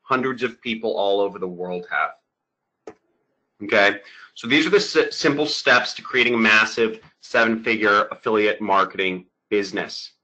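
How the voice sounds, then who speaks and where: A man presenting a sales webinar over slides, talking in an energetic, emphatic, salesy way. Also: on the low side, moderate pace, poor audio.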